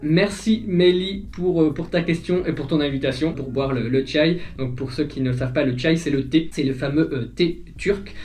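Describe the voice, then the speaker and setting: A man's voice: a little high, normal speed, few pauses, darkish tone. Hesitant French vlogger, man from the north. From northern France. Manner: hesitant, many fillers and restarts. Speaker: vlogger answering viewer questions. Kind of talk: casual chat.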